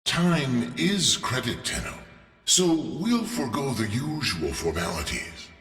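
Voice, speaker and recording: An actor playing a shrewd, courteous merchant leader in a video game, formal and persuasive, with deliberate pauses. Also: male voice, medium pitch, big pitch swings, fairly bright tone. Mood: businesslike concern. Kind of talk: video game briefing acting. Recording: echoey hall, distant, faint music.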